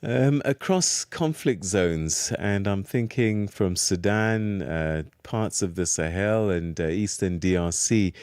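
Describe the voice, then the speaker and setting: A male interviewer asks formal, carefully built questions. His voice sits fairly low and the sound is dry and close, with no room echo. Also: slow, few pauses, darkish tone, smooth.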